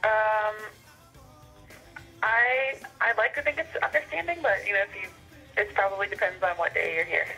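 A young woman takes a customer call in a casual, good-humoured way. Her voice is bright and on the lower side for a woman, heard through a telephone. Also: normal speed, gravelly, long pauses, faint music behind. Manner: polite, good-humoured, hesitant. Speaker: coffee shop employee answering the phone. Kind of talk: casual customer service phone chat. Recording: phone call played over speakerphone.

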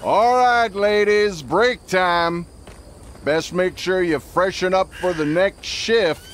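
A man's voice: sits high, takes it slowly, slight background noise. A man acting a breezy, smirking frontier overseer in a video game. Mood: smug.

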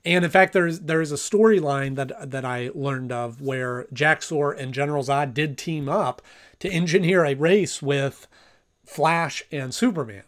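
A man's voice: on the high side, a little slow, fairly bright tone. A man in his thirties giving a casual review to camera as host of a video. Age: 30s. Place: small home room, indoors.

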